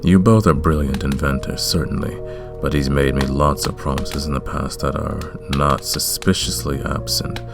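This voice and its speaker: A man playing a courtly, commanding, lovestruck vampire king in a romantic ASMR roleplay, speaking in a formal, deliberate way. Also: very deep voice, fairly even intonation, vocal fry, over music. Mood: stern, regretful.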